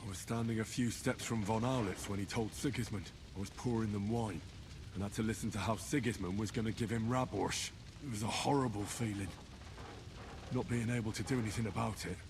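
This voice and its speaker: A man's voice: on the low side, steady tone, a little fast, noisy background, lightly acted. Earnest young medieval man, acted by a man. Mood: bitter. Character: earnest, hot-headed young medieval man bent on revenge. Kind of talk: dramatic video game dialogue.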